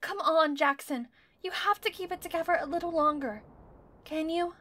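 A woman's voice: very high voice, steady tone, moderate pace, sharp tone. A woman playing an anxious, weary but loyal young survivor in an audio drama, earnest and pleading. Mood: soothing, pleading.

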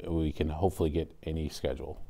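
A man's voice: a bass voice, little pitch movement, quick pace, vocal fry, mellow. An American man in his thirties explaining his work as a supervisor in a studio interview. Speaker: 911 communications supervisor, interview guest. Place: indoor TV studio at a desk.

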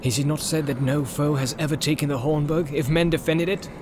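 A man's voice: fairly high pitch, steady tone, a little fast, noisy background, lightly acted. A man voicing a valiant, weary warrior leader in a fantasy audiobook, with grave, archaic, formal speech. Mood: grave, steadfast.

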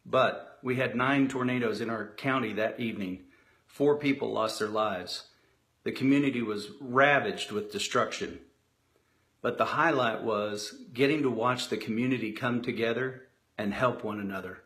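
A middle-aged man, a DJ, sharing an earnest story in a sincere, measured way over a webcam from his home office. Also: mid-range voice, fairly bright tone.

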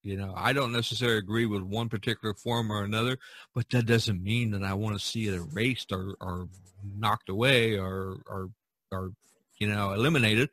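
A man hosts a casual podcast discussion in a bright, forceful voice. He repeats phrases to drive his point home. The sound is dry, with no room around it. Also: lowish voice, fairly even intonation, moderate pace, no echo. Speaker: podcast host.

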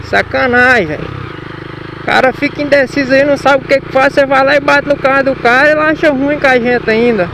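Slangy young Brazilian motovlogger, gravelly and high. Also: male voice, brisk. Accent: Brazilian Portuguese, Minas Gerais. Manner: slangy, swears at drivers, irritated outbursts. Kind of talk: casual running commentary while riding. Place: city streets outdoors on a motorcycle. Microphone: helmet or action camera mic. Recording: loud road and engine noise.

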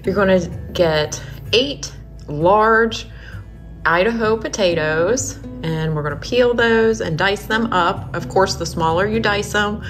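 A woman's voice: medium pitch, slow pace, a bit bright. Casting: female home and lifestyle vlogger, thirties, casual and encouraging, mid-range voice, music underneath. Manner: encouraging, addresses viewers directly. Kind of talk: casual vlog chat to viewers. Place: rooms of a house, indoors. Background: background music.

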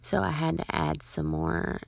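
A woman narrating her card-making process as a voice-over, in a relaxed, rambling way with frequent ums. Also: a bass voice, measured pace, vocal fry, no echo.

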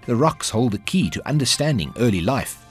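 A man narrating a television documentary in a measured, scripted style. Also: medium pitch, sing-song, faint music behind. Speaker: program narrator.

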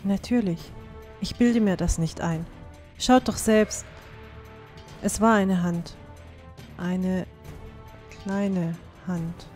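A woman plays a frightened young female dwarf in a fantasy radio play. She speaks slowly and haltingly, trembling with horror, over clear background music. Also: lowish voice, steady tone, a little mellow. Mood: shaken, insistent. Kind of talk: fan-made fantasy audio drama acting.

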